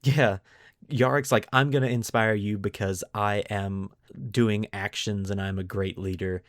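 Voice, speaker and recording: A man's voice: on the low side, steady tone, measured pace, dry recording, studio quality. A man hosting a podcast, mixing scripted lore narration with casual commentary and putting dramatic emphasis on key words. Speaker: podcast host.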